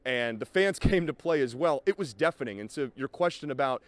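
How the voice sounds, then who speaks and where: A man working as a sports announcer and reporter, delivering highlights and reports in a punchy, emphatic broadcast style. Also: animated, fairly quick.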